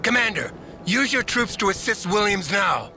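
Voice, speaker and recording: High-pitched, commanding military general. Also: male voice, lively intonation, moderate pace, creaky, sharp tone, soft background music, heavily acted. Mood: urgent commanding. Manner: commanding, emphatic. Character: gung-ho, authoritative American general giving battle orders. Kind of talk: military strategy game voice acting.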